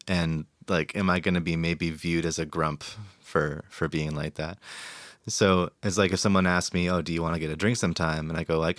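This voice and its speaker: A man's voice: low-pitched, a bit bright, no room sound, clean studio sound. A man in a casual podcast panel, reflecting aloud on socializing and drinking, with frequent restarts. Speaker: podcast guest.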